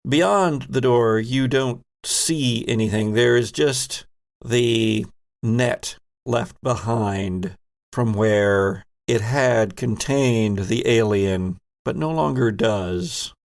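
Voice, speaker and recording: A man with a creaky, gravelly voice narrates a tabletop horror game as its game master. His delivery is theatrical and unhurried, stretching out phrases for suspense at a slow pace. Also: medium pitch. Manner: theatrical, drawn-out storytelling.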